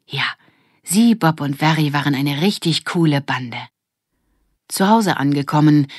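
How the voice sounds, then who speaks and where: A woman's voice: sits low, a little mellow, husky, dry recording, studio quality. A woman narrating a scripted children's story as an audiobook reader.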